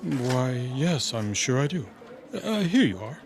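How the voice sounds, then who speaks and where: An actor playing a nervous hotel concierge in a radio drama, hesitant, polite and eager to defer. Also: male voice, mid-range voice, very animated, measured pace, darkish tone, faint music behind. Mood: flustered, deferential.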